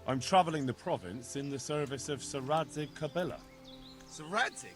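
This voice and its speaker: A man's voice: a little high, normal speed, soft background music, close to an everyday voice. A man playing an indignant medieval villager. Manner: defensive, scolding. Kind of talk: video game dialogue.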